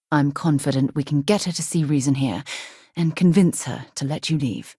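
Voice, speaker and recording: A fairly low-pitched, closely recorded woman's voice for a space explorer in a video game. She is a principled former officer, commanding and articulate. Every line comes across earnest and crisp. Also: darkish tone, no room sound, clean studio sound. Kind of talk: acted video game companion dialogue.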